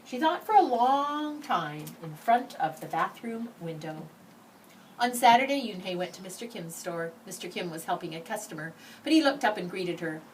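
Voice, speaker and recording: A woman reads a children's story aloud with deliberate, emphatic phrasing. Her melody is very lively and varied. The mic is slightly distant, with faint noise behind her. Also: mid-range voice. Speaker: storybook reader. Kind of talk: expressive children's read-aloud narration.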